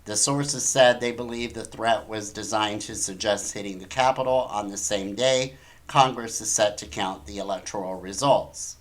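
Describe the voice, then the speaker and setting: A man's voice: on the low side, normal speed. A man hosting an opinionated talk show, commenting on the news in an incredulous, emphatic way with rhetorical questions. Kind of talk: opinionated news commentary, reading articles aloud.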